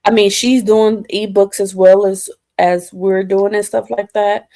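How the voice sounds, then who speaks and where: An American woman co-hosting a casual chat, speaking in halting phrases that circle before landing. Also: medium pitch, steady tone, dark tone, no echo. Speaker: co-host.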